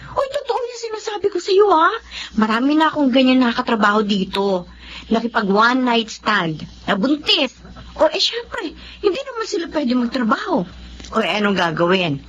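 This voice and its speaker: A woman's voice: fairly high pitch, moderate pace, lo-fi sound, a little hiss or hum. A woman playing a frank, streetwise migrant coworker who gives blunt advice in a Filipino radio drama. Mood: frank, teasing. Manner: blunt, animated, advice-giving.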